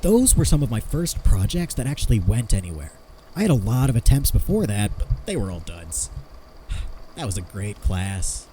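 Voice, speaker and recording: Animated, rambling amateur inventor played by a man. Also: lowish voice, normal speed, slight background noise. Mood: delighted, nostalgic. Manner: rambling, enthusiastic, self-deprecating. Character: eager amateur inventor explaining his homemade theory of strange phenomena. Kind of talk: fan fiction audio drama, conversational monologue.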